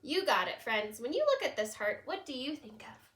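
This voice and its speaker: A woman's voice: on the high side, sing-song, moderate pace, a bit bright, a little hiss or hum. A woman voicing a caring, patient hare schoolteacher in a storybook, encouraging and instructing her class. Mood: caring, earnest.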